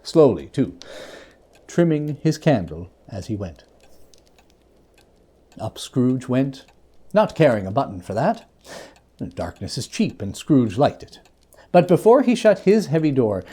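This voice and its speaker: A man narrates a literary tale with theatrical flair. His mellow voice moves widely up and down in pitch. He is deliberate, drawing out key words for emphasis. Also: very animated, breathy edge. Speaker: storyteller reading a classic tale aloud.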